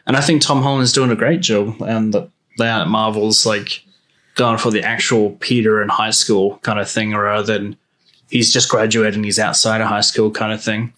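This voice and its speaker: A man's voice: on the low side, level tone, normal speed, a bit bright. Enthusiastic man in casual chat. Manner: enthusiastic, informal, fannish. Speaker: podcast panelist.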